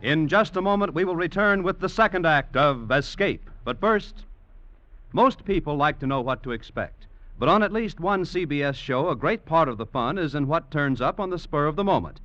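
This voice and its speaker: A male network announcer with a bright, fairly high voice. He delivers scripted copy in mid-century radio style. The recording is dry and somewhat muffled, like an old broadcast. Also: animated, moderate pace, no echo.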